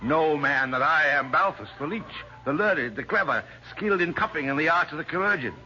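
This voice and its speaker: An actor playing a portly, boastful older physician of ancient Bethlehem in an old-time radio drama, grandiloquent and long-winded in archaic English. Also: male voice, a little high, expressive, fairly quick, sharp tone. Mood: haughty, boastful. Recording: dull old radio recording.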